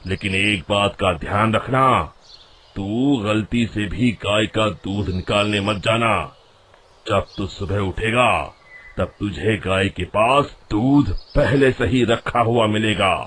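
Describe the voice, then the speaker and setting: A man's voice: lowish voice, takes it slowly, fairly bright tone, a little hiss or hum. A man voicing a sly, pompous village holy man in a cartoon moral story. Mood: solemn, scheming. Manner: pompous, chanting, addresses listeners as a child.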